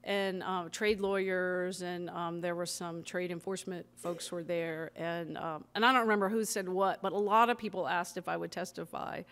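A woman's voice: fairly low pitch, moderate pace, hardly any pauses, clean tone. Chatty woman author at a podium. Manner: chatty, animated, frequent ums and restarts. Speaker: author speaking at a library event. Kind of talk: talk and audience Q&A. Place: library meeting room, at a podium. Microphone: podium microphone.

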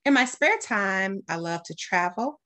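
A middle-aged American woman from Detroit, a school principal, doing an expressive storytime read-aloud with deliberate phrasing. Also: mid-range voice. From Detroit, United States. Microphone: webcam at about arm's length.

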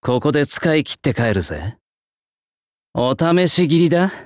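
An old swordsmith spirit in a young man's body for an anime game. He is brusque and proud, with a rough, old-fashioned craftsman's turn of speech. The delivery is unhurried yet swings widely in pitch, recorded close and dry. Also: very animated, no room sound. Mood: gruff, nonchalant. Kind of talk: anime game battle voice lines.

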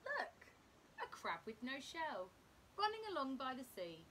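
A woman reads a children's story aloud with big, swooping intonation. She takes it slowly and dramatically. Her voice is fairly high, with clear rumbly noise behind it. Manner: animated, dramatic, deliberate. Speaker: teacher reading a picture book to children. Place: indoor room at home.

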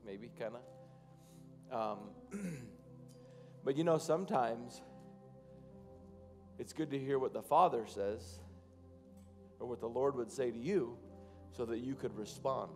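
A man in his thirties, a pastor, preaching and then praying over his congregation from a church stage, with loose, halting phrasing that rises to fervent prayer. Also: medium pitch, soft background music. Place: large church stage, indoors.

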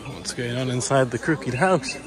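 A man's voice: mid-range voice, normal speed, crowd noise. A British man chatting casually as he films a walkaround vlog outdoors. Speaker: vlogger. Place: outdoor family park, walking in woods.

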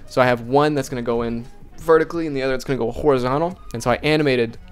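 A man's voice: mid-range voice, very fast, faint music behind. Chatty young man, Finnish native speaker. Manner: chatty, jokey, animated. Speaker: video creator. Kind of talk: casual tutorial vlog. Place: small bedroom. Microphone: handheld dynamic mic close up.

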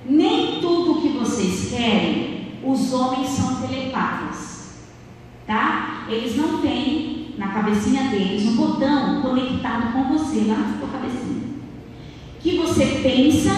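Lively woman speaking in an echoey hall. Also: high voice, a little hiss or hum. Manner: lively, playful, acts out little scenes. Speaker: marriage speaker. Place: large dark stage, church hall. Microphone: handheld mic. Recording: echoey hall recorded from far off.

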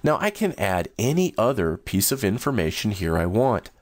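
Deep-voiced guy doing a software walkthrough, steady explaining, dry close mic. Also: moderate pace, dry recording. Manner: steady, explanatory, first-person demo narration. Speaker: software demonstrator. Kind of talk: screen-recorded software walkthrough.

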